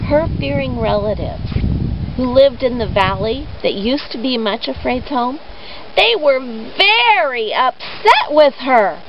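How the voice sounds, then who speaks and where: A woman storyteller speaks in a fairly high voice that rises and falls freely. She takes her time, stressing important words for drama as if telling a tale to children. The recording is dull and lo-fi, with clear noise behind her. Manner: deliberate, dramatic emphasis on key words.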